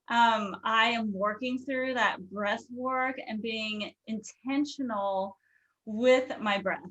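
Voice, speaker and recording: A woman's voice: fairly high pitch, a bit bright, muffled recording. A woman hosting a podcast, talking in a gushing, earnest way. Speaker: podcast host. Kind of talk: casual podcast chat.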